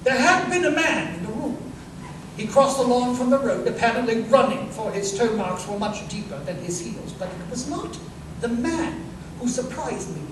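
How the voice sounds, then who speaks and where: An actor playing an incisive, self-assured detective in a live 1930s-style radio drama, speaking precisely and emphatically. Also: male voice, very high-pitched, animated, normal speed. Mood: analytical. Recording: distant, echoey live stage recording, noisy room.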